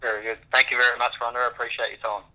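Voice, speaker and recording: An Australian man, a reporter, putting halting questions on a press conference call. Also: mid-range voice, flat delivery, bright, forceful tone. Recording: dull lo-fi phone line.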